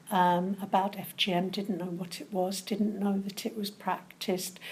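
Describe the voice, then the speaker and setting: A woman working in community support gives a measured, explanatory account in an interview setting. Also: mid-range voice, a little mellow, husky, rough recording. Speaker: community support charity worker.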